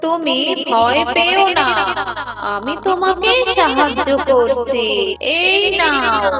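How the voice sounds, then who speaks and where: A performer voicing a kindly, generous female water fairy in a children's cartoon, with a reassuring delivery, over music. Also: high voice. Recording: loud music under the voice. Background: loud cartoon music.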